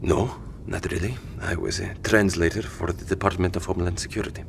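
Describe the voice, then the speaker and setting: A man's voice: sits low, fairly even intonation, a little fast, gravelly, a little hiss or hum. A man playing an anxious, argumentative civilian father at his wit's end in an audio drama, speaking articulately. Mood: subdued. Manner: pleading, articulate arguing.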